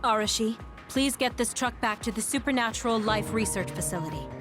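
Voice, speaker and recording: A woman's voice: fairly high pitch, fairly quick, over music, lightly acted. A woman voicing a kind, composed military major in an anime-style game, caring but authoritative. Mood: firm.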